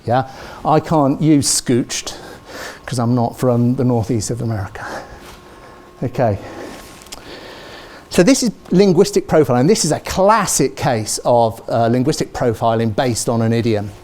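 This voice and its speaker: A middle-aged British man giving a university lecture, animated, checking in with the audience with "okay?" and "yeah?", recorded on a lapel mic in a large lecture theatre. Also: medium pitch, a little slow. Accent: British English. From Britain.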